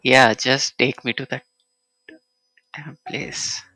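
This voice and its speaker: A woman's voice: a bass voice, fairly even intonation, slow pace, pushed and bright, dry recording, poor audio. A woman streaming a game with casual live commentary, muttering asides in reply to the dialogue. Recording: faint game music behind.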